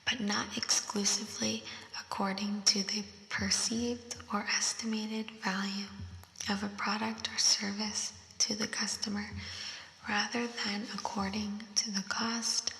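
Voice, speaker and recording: A young woman whispers softly and unhurriedly, reading quiz questions and reasoning through them aloud. Her whisper is bright with a gravelly edge and comes through an effect. It rings out in a roomy, echoing space with a long tail. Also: medium pitch, level tone. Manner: soft unvoiced whisper, slow and thinking aloud. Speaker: ASMR creator. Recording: roomy echo with long reverb.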